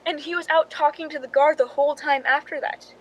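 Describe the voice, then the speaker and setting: A woman's voice: high-pitched, fairly even intonation, fairly quick, muffled recording, a little hiss or hum, natural voice. An actress playing a film stand-in who narrates her own crime story in a suspense radio drama, speaking in a dramatic first person. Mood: protesting.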